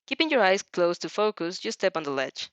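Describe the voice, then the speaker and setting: A woman reading fan fiction in an expressive storytelling way with casual, chatty asides. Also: on the low side, a little fast, clear, resonant tone, no echo. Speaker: fan fiction reader and reactor. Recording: dull, muffled lo-fi recording.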